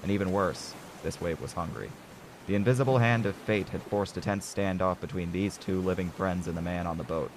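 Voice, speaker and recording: A man's voice: low-pitched, fairly quick, noisy background. A man hosting an audio drama anthology with scripted, dramatic narration. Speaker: narrator, host.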